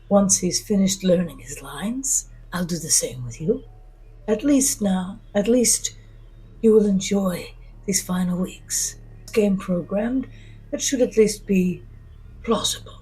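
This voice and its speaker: A woman voicing a polite, tired alien villain man in an audio drama, scheming coldly in a hushed, breathy, unhurried way. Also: mid-range voice, animated, soft background music, exaggerated voice, rough recording. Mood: calm menace. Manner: courtly, unhurried, menacing.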